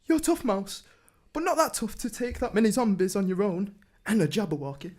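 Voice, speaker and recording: A woman's voice: mid-range voice, big pitch swings, a little fast, a little mellow. A woman playing a timid but loyal, self-sacrificing rabbit in a fantasy radio drama, speaking urgently and cautiously. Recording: noisy ambience behind the voice.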